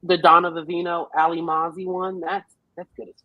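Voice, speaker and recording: A woman's voice: lowish voice, flat delivery, a little fast, dull audio, crowd noise. A woman chatting casually as a podcast panelist about a film, slangy, profane and given to theatrical retellings. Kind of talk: casual animated chat.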